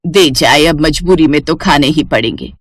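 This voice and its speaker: A woman's voice: deep voice, moderate pace, breathy edge, no room sound, studio quality, pitched below the performer's own voice. A woman voicing a stern, grumbling old mother-in-law in a scolding manner for a cartoon moral story. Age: older. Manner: scolding, complaining.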